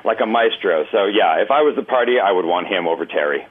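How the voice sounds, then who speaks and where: Man, lowish voice, easygoing conversational talk, phone-line sound. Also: breathy edge. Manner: relaxed, conversational, quick to agree. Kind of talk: casual political chat.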